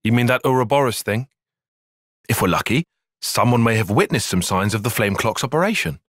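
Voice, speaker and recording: A man's voice: medium pitch, expressive, fairly quick, no room sound, studio quality. A man with a British accent voicing an earnest, cautious young soldier-strategist in a video game, speaking in a precise, formal, articulate way. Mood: measured, analytical. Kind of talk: video game cutscene voice acting.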